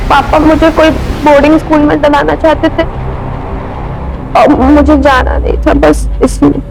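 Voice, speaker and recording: A woman's voice: high-pitched, little pitch movement, normal speed, gravelly. A young woman with an Indian accent acting as a subdued, apologetic daughter in a TV crime drama, over a noisy soundtrack.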